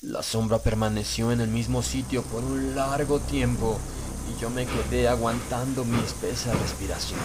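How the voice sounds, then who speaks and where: A man's voice: mid-range voice, steady tone, moderate pace, noisy background. A man reading a horror audiobook as a dramatic, grave, suspenseful first-person narration. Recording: loud background music under the voice. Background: loud music.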